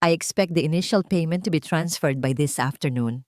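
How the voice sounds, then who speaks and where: A woman's voice: low voice, moderate pace, a little mellow, no room sound, clean studio sound, natural voice. A woman voicing a haughty, ambitious rich mother who speaks in an imperious, demanding way in a narrated story. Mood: arrogant.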